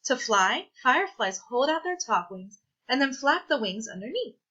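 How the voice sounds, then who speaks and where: A woman reading a children's science picture book aloud, with clear, deliberate, teacherly phrasing. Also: high-pitched, sing-song, bright, forceful tone, dull audio. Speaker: read-aloud presenter.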